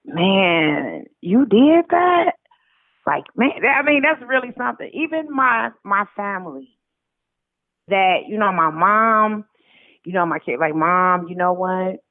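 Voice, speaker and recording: A woman's voice: mid-range voice, no room sound. A middle-aged American woman speaking as an interview guest in a casual, emphatic, colloquial way over a narrow, tinny line.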